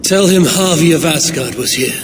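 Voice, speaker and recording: A man's voice: a little high, normal speed, natural voice. A man playing a weary, commanding Norse god warrior in a video game, terse and grave. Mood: terse, wry. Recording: clearly noisy ambience behind.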